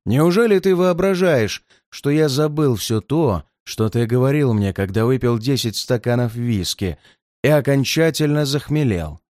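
A hulking, cruel giant of a man threatening his victim in a storybook, as a male narrator puts him on. He speaks slowly and deliberately, with a lively, rising and falling delivery. The sound is close and dry. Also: mid-range voice, dry recording, natural voice. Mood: menacing. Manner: threatening, slow and heavy. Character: menacing red-haired male giant, cruel and gloating.

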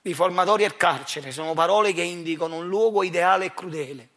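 A man from Naples with a Neapolitan accent delivers a dramatic theatrical monologue in a deliberate, confiding way, addressing his listeners directly. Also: high voice, pushed and bright. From Naples, Italy. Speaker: actor and writer performing his own monologue.

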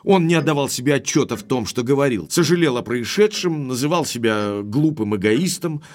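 An actor playing a hot-headed, lovestruck young baronet who speaks bluntly and emphatically in an audio drama. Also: male voice, mid-range voice, expressive, a little fast, a little mellow, close to an everyday voice. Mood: earnest.

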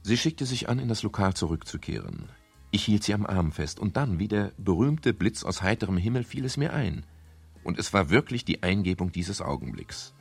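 Gravelly, very low-voiced world-weary detective. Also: male voice, lively intonation, moderate pace, soft background music, heavily acted. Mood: dry, wry. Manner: dry, wry. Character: world-weary male private detective narrating his case. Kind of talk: 1970s German radio crime drama, first-person narration and dialogue.

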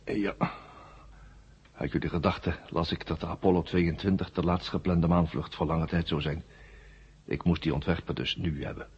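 A man playing an ancient, worn-out war machine brain in a 1970s radio drama, weary and forgetful, in flat, halting, repetitive statements. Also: deep voice, husky. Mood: weary, explaining. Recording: muffled old radio drama recording.

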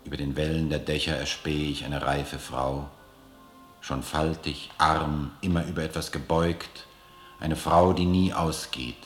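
Very deep-voiced man reciting prose poetry. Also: steady tone, unhurried. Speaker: reader of a prose poem. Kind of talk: solemn literary recitation. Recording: echoey room, faint music behind. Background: faint music.

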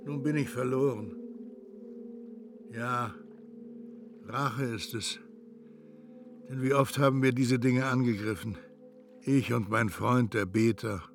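A man playing a solemnly resigned, doomed fat man in a literary radio drama, speaking in a deliberate, formal, carefully articulated way. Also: medium pitch, creaky, music in the background. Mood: solemn lament.

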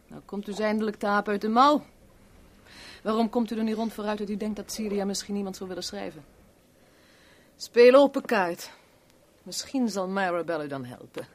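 A woman's voice: mid-range voice, moderate pace, lightly acted. An actress playing a worldly, sardonic kept woman in a 1970s radio play, with a wry, teasing, blunt way of talking. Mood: teasing, probing.

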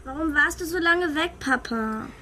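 A woman's voice: sits high, expressive, normal speed, sharp tone, soft background music. A woman playing a young girl who longs for her absent father in a children's radio play. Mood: eager, questioning.

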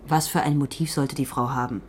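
Terse, low-voiced policewoman. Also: steady tone, brisk, a little mellow, slight background noise. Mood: skeptical. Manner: terse, skeptical, matter-of-fact. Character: terse, skeptical young female police trainee. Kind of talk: naturalistic German radio drama acting.

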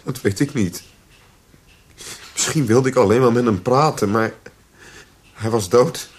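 An actor playing a troubled, guilt-laden son in a radio drama, speaking tersely and haltingly. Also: male voice, medium pitch, husky. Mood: bitter, halting.

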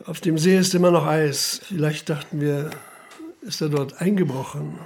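A dutiful Prussian policeman of 1900 in an audio drama. His voice sits fairly high for a man, and he speaks formally and carefully, deferring to his superiors. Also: fairly even intonation, moderate pace, gravelly, darkish tone, a little hiss or hum. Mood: deferential, factual. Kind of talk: audio drama narration and dialogue.

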